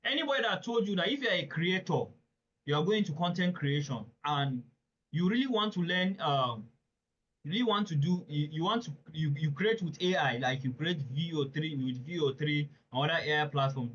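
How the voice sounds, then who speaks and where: A man teaches a live online webinar in a somewhat high voice. He talks earnestly and emphatically and often puts questions straight to his listeners. The sound is dull and lo-fi, like audio from an online call. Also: lively intonation, brisk, a bit bright, husky. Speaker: webinar presenter.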